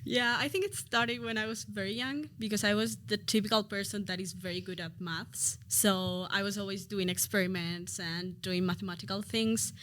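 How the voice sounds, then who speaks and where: A woman's voice: medium pitch, steady tone, moderate pace, hardly any pauses, a bit bright. A woman in her twenties from Spain, whose first language is Spanish, chatting as a podcast guest, thinking aloud with fillers. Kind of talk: podcast interview.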